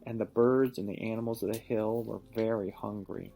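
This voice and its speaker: A man reading a picture book aloud to a child, pausing to ask questions and give patient encouragement. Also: fairly low pitch, steady tone, gravelly, dark tone, faint music behind, low-quality recording. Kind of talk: storybook read-aloud with teaching asides.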